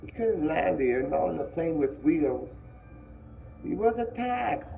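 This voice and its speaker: A man reading aloud who voices a fair-haired schoolboy, casual and confident, in a high boyish voice. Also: sing-song, slow, creaky, mellow, muffled recording, soft background music, heavily acted. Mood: offhand.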